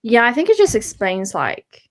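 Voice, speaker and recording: A woman's voice: a little high, huge pitch swings, moderate pace. A woman co-hosting a book readalong live show in casual chat, informal and tentative as she thinks aloud.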